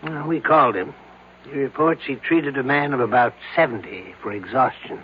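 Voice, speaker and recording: An actor in an old-time radio drama playing a firm, professional hospital doctor who speaks in a measured, clinical way. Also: male voice, medium pitch, slight background noise, close to an everyday voice. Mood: brisk, professional. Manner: measured, clinical, matter-of-fact. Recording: tinny, band-limited old radio broadcast.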